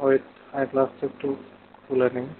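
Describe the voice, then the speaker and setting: A man's voice: mid-range voice, monotone, normal speed, slight background noise. A man with a Bangladeshi accent teaching a live online coding lesson step by step, mixing in English technical terms. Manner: explains step by step, asking questions of students. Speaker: programming instructor. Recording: narrow phone-like online class audio.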